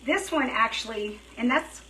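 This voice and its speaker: A woman chatting casually on a live craft stream, thinking out loud as she works, her throat dry and her voice feeling worn. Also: fairly high pitch, expressive, unhurried. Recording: roomy, slightly distant, faint hiss.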